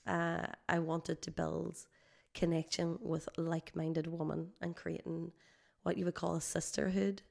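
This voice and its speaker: Slightly hoarse, creaky low-voiced woman. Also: darkish tone. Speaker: guest, holistic healer. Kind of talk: conversational, explaining her work.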